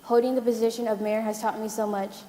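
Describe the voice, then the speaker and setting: A woman's voice: a little high, flat delivery, fast, warm tone, a little hiss or hum. A teenage American girl, a student mayor on a conference panel, giving an earnest prepared speech. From United States. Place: conference hall. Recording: echoey conference hall.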